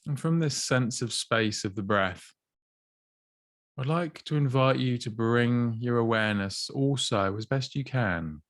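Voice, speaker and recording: A man gently guiding a body scan meditation, slow and soothing with long pauses. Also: medium pitch, lively intonation, dry recording, clean studio sound. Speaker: mindfulness teacher.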